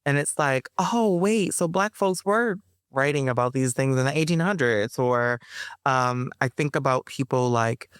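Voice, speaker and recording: A woman being interviewed as a playwright, talking in a chatty, animated way, with frequent fillers and bits of laughter. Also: extremely low pitch, a little slow, clear, resonant tone, dry recording, clean studio sound. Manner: animated, chatty, addresses listeners as y'all. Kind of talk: casual interview conversation.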